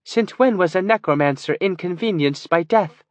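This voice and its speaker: A man's voice: a little high, animated, normal speed, fairly bright tone, dry recording, voice pushed up. Kiva, a man voicing a stern elf sorceress. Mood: urgent. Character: proud, stern female elf wizard.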